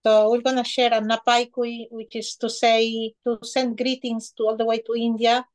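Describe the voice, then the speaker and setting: A middle-aged woman from Peru, speaking slowly and reverently as a healer on a video call. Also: on the high side, level tone. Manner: slow, soft-spoken, reverent gratitude lists. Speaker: shamanic healer guest. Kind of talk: spiritual talk on a video call. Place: small decorated room indoors. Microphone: video call webcam.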